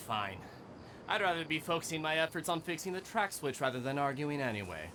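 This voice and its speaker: A man's voice: fairly high pitch, very animated, normal speed, noisy background. A man playing a prickly but polite, emphatic worker in a video game. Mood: irritated, scoffing.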